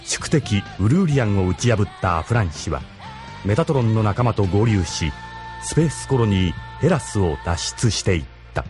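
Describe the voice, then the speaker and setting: A man's voice: on the low side, sing-song, moderate pace, over music. A man acting as the solemn narrator of a Japanese sci-fi audio drama, delivering a scripted recap. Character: solemn male narrator recapping a space war saga.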